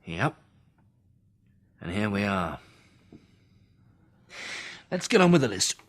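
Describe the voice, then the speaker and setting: An actor playing a steady, protective man surviving an apocalypse in a radio drama, measured and reassuring. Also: on the low side, exaggerated voice. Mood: grave, thoughtful.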